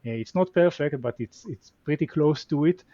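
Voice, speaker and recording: A man's voice: on the high side, moderate pace, warm tone, no echo, lo-fi sound. A man with an Israeli accent, a guest in a casual interview who talks reflectively and often repeats his words. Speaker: venture capital guest.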